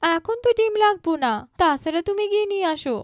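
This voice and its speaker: A woman's voice: very high voice, lively intonation, fast, dry recording, clean studio sound. A woman voicing a polite, earnest village woman in a moral cartoon, with a Bangladeshi accent. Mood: questioning. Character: village mother and her polite, earnest grown daughter. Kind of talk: cartoon moral story dialogue.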